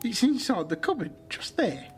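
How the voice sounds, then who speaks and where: A woman's voice: a little high, big pitch swings, normal speed, music in the background. A woman playing a wicked, greedy old witch in a fairy-tale radio drama, curt and commanding. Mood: bossy.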